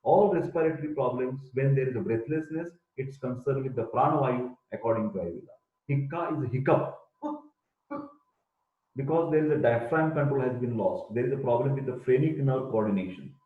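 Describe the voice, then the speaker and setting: Older Indian-accented professor, emphatic lecturer, tinny phone-like sound in a roomy echo. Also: male voice, medium pitch, brisk. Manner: emphatic, repeats key terms, chants Sanskrit verses. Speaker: Ayurveda professor. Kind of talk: recorded lecture.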